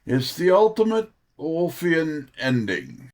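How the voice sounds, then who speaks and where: A man's voice: on the high side, huge pitch swings, slow, darkish tone. A man giving polished, scripted-sounding interview answers as an author, in articulate, dramatic phrases.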